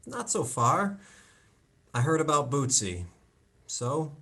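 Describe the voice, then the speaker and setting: Casting: man as concerned adult son, earnest and coaxing yet exasperated, with swooping, lively intonation. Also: a little high, slow, full character voice. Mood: affectionate concern. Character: concerned, exasperated grown son confronting his mother. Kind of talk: live play reading over video call. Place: indoors, on a video call.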